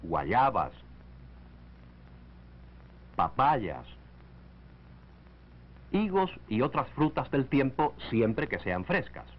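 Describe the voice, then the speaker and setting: A man reading scripted narration for a 1940s educational film, in a measured, didactic way. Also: mid-range voice, very animated. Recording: dull lo-fi 1940s film soundtrack.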